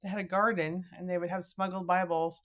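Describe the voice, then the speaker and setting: A woman's voice: on the low side, flat delivery, a little fast. A woman hosting a conversational podcast interview in an effusive, agreeable manner. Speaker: podcast host.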